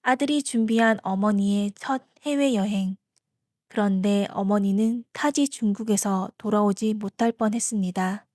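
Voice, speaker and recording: A woman's voice: medium pitch, normal speed, a little mellow, no echo, clean studio sound. A woman hosting, reading a scripted introduction to a story. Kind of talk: scripted narration.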